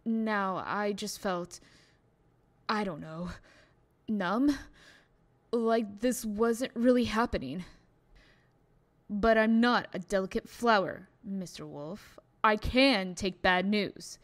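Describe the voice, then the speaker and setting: A woman's voice: mid-range voice, slow pace, long pauses, natural voice. A woman playing a proud, composed fairy-tale heroine holding back worry, formal and firm in a comic dub drama. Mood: subdued, worried.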